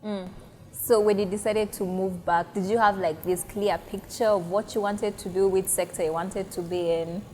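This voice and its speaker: Female reporter, medium voice, soft questioning in an interview. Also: medium pitch, fairly even intonation, moderate pace, a little hiss or hum.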